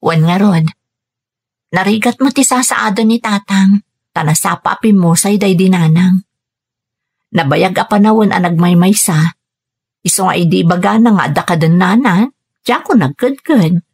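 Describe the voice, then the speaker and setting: A husky young Ilocano daughter, earnest. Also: female voice, medium pitch, moderate pace, pauses often, a little mellow. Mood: wistful, earnest. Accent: Filipino, Ilocano. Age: young adult. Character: young Filipina overseas worker, dutiful burdened daughter. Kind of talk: Ilocano radio drama acting. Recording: music bed under the voice. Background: music.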